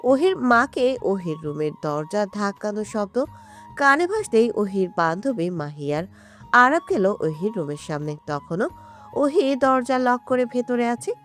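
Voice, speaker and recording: A woman's voice: medium pitch, big pitch swings, a little slow. A woman reading a romantic story as a narrator, in a dramatic scripted style. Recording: music behind the voice. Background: background music.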